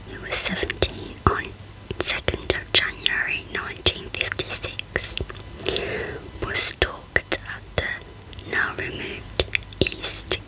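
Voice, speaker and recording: A woman hosting a true crime channel, reading out a case in a hushed ASMR whisper. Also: poor audio.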